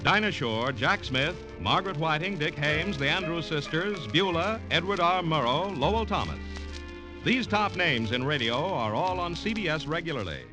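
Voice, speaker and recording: A man's voice: a little high, lively intonation, normal speed, over music, low-quality recording. A man reading scripted credits and promotions as a vintage radio network announcer. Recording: vintage radio broadcast recording.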